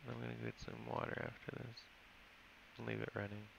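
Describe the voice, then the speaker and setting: Gravelly low-voiced American man rambling. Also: level tone, a little fast, background noise. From California. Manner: rambling, self-correcting, thinking aloud while working. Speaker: game developer. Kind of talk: casual screen-recorded dev log.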